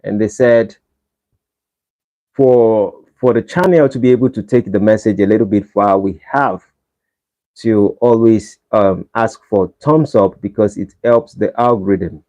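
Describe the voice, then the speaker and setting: A man's voice: on the low side, a little slow, dark tone. Nigerian man pleading with livestream viewers. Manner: pleading, repeatedly asking viewers for likes. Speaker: livestream host. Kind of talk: casual live-stream chat. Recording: dull lo-fi livestream audio.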